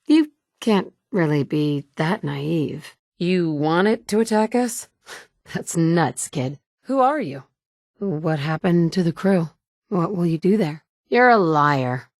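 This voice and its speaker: A woman's voice: lowish voice, lively intonation, takes it slowly, darkish tone, dry recording, studio quality. An actress in her thirties voicing a blunt, sardonic woman survivor searching for her son in a video game. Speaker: player character. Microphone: close studio mic.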